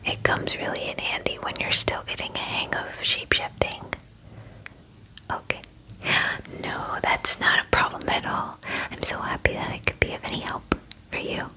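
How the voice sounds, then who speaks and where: A woman in her twenties whispering gently and soothingly in an ASMR personal attention roleplay, close to the microphone. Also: poor audio. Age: 20s. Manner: gentle, soothing, reassuring, unhurried. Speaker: ASMR roleplayer playing a spa groomer. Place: small bedroom, indoors. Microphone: close microphone, near the camera.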